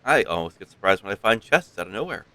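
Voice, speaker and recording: A man's voice: lowish voice, sing-song, quick pace, sharp tone. A man giving casual live gameplay commentary as a game streamer, sometimes reading dialogue aloud.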